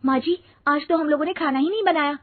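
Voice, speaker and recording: A woman's voice: sits high, fast talker, no room sound, phone-quality sound, voice pushed up, lightly acted. A woman voicing an eager, anxious young daughter-in-law in a cartoon story. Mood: flustered.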